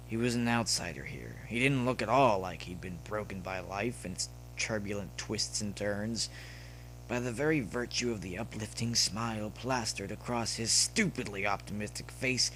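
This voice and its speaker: A man's voice: lowish voice, a little hiss or hum, low-quality recording. A man reading a scripted story aloud as an audiobook narrator. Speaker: audiobook reader of fan fiction.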